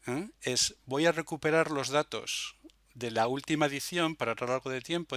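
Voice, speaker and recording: A man narrates an informal software demo tutorial, explaining step by step with hesitations and frequent little checking tags. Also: medium pitch, no echo, studio quality. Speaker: software demonstrator.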